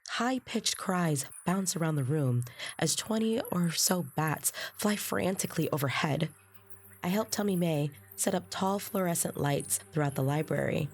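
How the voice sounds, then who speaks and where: An actress plays a young female trainee telling her own tale in an audio drama. Her voice is distinctly low for a woman. The scripted narration is earnest and descriptive, with music playing behind it. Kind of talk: first-person scripted story narration.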